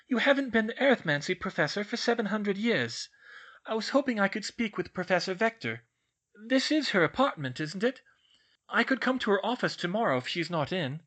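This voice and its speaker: A man's voice: sits high, very animated, moderate pace, sharp tone, pitched above the performer's own voice, heavily acted. A man voicing an earnest, anxious young schoolgirl who speaks politely and hesitantly. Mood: pleading.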